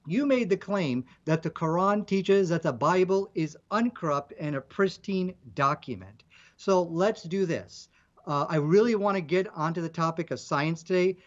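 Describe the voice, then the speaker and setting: A man making his case in a debate, measured and persistent. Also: high voice, highs cut off. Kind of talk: debate argument.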